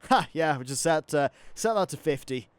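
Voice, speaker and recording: A man's voice: fairly high pitch, animated, moderate pace, no room sound. A young man giving a chatty, informal, mildly sweary commentary as he plays through a video game. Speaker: gaming YouTuber. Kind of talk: casual game playthrough commentary. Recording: clear background noise, game ambience.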